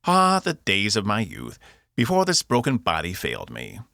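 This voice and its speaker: A man performs a cheeky Cockney robot from a sci-fi audiobook. He is vain and theatrical, swinging widely in pitch with a bright, forceful edge. His manner is grandiose and teasing. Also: huge pitch swings, normal speed, vocal fry, no echo, studio quality, full character voice. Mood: pompous, self-pitying.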